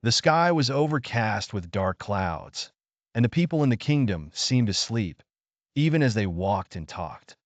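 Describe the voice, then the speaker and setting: A man's voice: on the low side, big pitch swings, unhurried, no room sound. A man narrating a scripted bedtime story for children.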